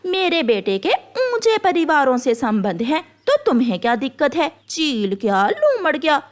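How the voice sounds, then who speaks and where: Woman as a haughty, high-voiced mother crow. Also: big pitch swings, normal speed. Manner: haughty, boastful, scornful of lesser birds. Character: proud, snobbish, doting mother crow. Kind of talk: children's animal cartoon voice acting.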